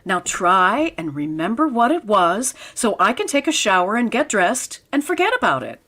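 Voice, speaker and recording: An actress playing a wry, patient schoolteacher with a dry, wisecracking manner, in vintage radio comedy style. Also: female voice, mid-range voice, very animated, moderate pace, pushed and bright. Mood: exasperated. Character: wry, patient woman schoolteacher, dryly exasperated.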